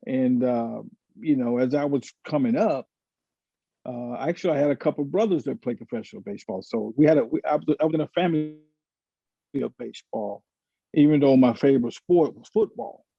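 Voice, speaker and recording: A middle-aged man from Florida talks in a gravelly, creaky voice, reminiscing casually. He is halting and hesitant, often restarting. The audio is dry and dull, as on a lo-fi video call. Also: mid-range voice, mellow, dry recording. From Florida, United States. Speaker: panelist. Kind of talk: casual reminiscing in a panel discussion. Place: virtual panel over video call.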